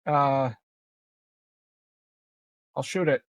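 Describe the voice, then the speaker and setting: A man's voice: mid-range voice, steady tone, very slow, no echo. A man chatting casually as a player in a tabletop roleplaying game, laid-back and a little hesitant.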